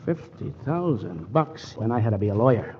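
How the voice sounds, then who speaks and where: An actor playing a wisecracking middle-aged lawyer turned amateur detective in a 1940s radio play, breezy and flirtatious. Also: male voice, medium pitch, sing-song, normal speed, noisy background, natural voice. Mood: wry. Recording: muffled 1940s radio broadcast.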